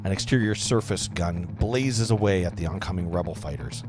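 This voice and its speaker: A man with a low voice reads screenplay action lines aloud in an informal, slightly bumbling way. Guitar music plays clearly behind him as he narrates. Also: a little fast. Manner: informal, stumbles over words and jokes about it. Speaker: narrator of a table read. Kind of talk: reading screenplay stage directions aloud.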